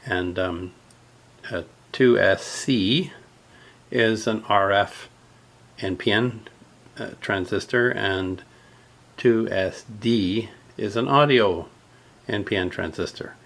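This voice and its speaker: A man giving an unscripted hands-on repair tutorial, thinking aloud haltingly as he works. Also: lowish voice, vocal fry, fairly bright tone. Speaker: host of a radio repair video.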